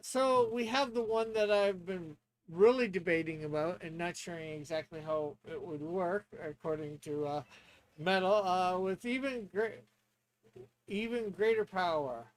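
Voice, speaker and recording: A man in casual stream talk, sometimes reading rules aloud, hesitant and halting as he thinks out loud. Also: high-pitched, a little hiss or hum, poor audio. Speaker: streamer and tabletop player.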